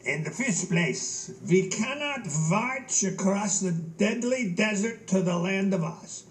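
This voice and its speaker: A man voicing a cool, blunt male gnome general who calmly lectures his king in a storybook reading. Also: high voice, slow pace, reverberant. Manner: dry, matter-of-fact.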